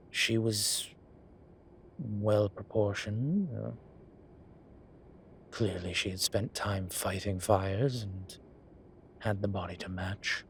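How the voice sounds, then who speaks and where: A man playing a flirtatious, cheeky incubus demon in an audio roleplay, talking in a teasing, suggestive way with drawn-out pauses. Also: lowish voice, a little hiss or hum. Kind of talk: audio roleplay acting, one-sided conversation.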